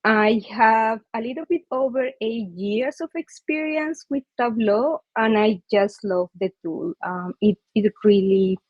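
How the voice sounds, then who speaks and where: A woman's voice: a little high, measured pace, hardly any pauses, a little mellow, dry recording. A woman from Colombia with a Colombian Spanish accent, giving a friendly presentation on a video call with many fillers. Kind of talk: online user group presentation. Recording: dull, dry video call audio.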